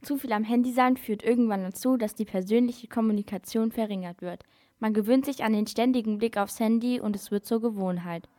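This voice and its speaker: A woman's voice: on the high side, fairly quick, warm tone, no room sound, clean studio sound. A female youth radio presenter reading scripted narration.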